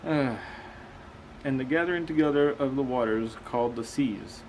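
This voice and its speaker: A man's voice: medium pitch, normal speed, darkish tone, slight background noise, low-quality recording. A man reading aloud and commenting casually as a host, with a skeptical, questioning manner. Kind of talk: casual commentary between read-aloud passages.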